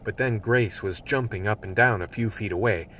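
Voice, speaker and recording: A man's voice: on the low side, moderate pace, far from the mic, background noise, lightly acted. A man playing a tough, gun-toting action hero who narrates his story in a gritty, colloquial radio play style. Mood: gritty recounting. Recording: music bed under the voice.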